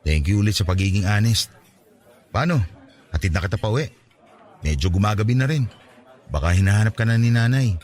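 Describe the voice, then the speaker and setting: A man's voice: fairly low pitch, lively intonation, brisk, pauses often, darkish tone, close to an everyday voice. An actor with a Filipino accent playing an earnest, lovestruck young man pleading his case in a radio drama. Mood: disappointed, accepting.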